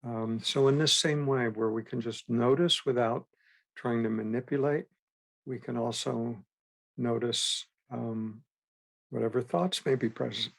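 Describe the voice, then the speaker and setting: A man guiding a meditation as a teacher, with gentle, unhurried instruction and frequent pauses. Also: mid-range voice, fairly even intonation, gravelly. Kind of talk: guided meditation.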